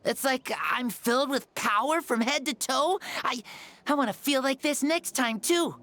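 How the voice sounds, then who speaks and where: A woman voicing a shy, frail young boy in a video game, who speaks softly and haltingly. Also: high-pitched, no echo. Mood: wondering. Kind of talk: game voice acting.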